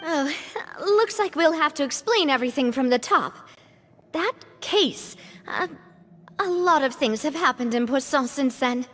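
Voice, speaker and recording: An actress playing a gracious, earnest young gang leader in a video game, speaking politely and with care. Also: female voice, high voice, animated, unhurried, a bit bright. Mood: friendly, explaining. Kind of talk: video game dialogue acting.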